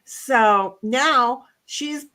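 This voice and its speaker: Loud, blunt middle-aged woman storyteller. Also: high voice, extremely slow, poor audio. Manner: animated, blunt storyteller with bursts of loud laughter and swearing. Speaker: co-host. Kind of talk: casual storytelling chat.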